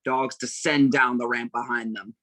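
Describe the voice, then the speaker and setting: A man's voice: mid-range voice, fairly even intonation, normal speed, pushed and bright, no room sound. Man narrating a script in a dry-sounding space. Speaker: narrator reading stage directions. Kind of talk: scripted narration at a table read.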